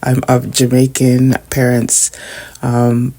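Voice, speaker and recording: A woman's voice: very deep voice, completely flat delivery, slow pace, mellow. A middle-aged woman, chatting casually to her viewers while tidying up at home. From Canada. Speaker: vlogger. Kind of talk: casual chat while decluttering.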